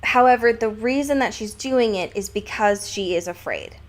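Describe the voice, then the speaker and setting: A woman's voice: mid-range voice, moderate pace. A woman co-hosting a podcast, discussing a book casually and analytically with emphatic, lightly profane phrasing. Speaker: podcast co-host.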